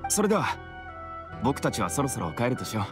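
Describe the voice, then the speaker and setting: A man acts a courteous, grateful father from a village in a video game. His voice sits at an ordinary male pitch, with clear music behind it. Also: very animated, fast talker, lightly acted. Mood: grateful, polite. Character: polite, grateful adult village father in glasses. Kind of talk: video game character acting.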